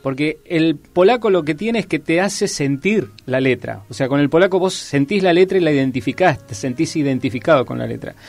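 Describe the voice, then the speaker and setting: An interview guest, a man. He explains eagerly and warmly, and his voice sits slightly high for a man. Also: expressive, fairly quick, hardly any pauses, soft background music. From Argentina, San Luis and Córdoba. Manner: enthusiastic, explanatory. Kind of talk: conversational interview.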